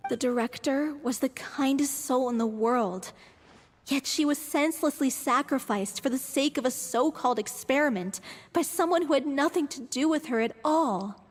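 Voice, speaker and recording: A woman acting as a skeptical young theatre woman from a video game, blunt and troubled. Her voice is high, with hall reverb and faint noise around it. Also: normal speed, natural voice. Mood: troubled, serious.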